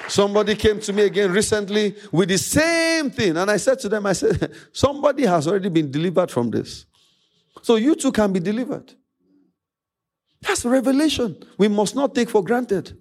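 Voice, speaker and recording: High, animated Nigerian male preacher. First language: Yoruba. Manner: emphatic, repeats phrases, dramatic pauses. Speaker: pastor. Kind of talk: preaching a sermon.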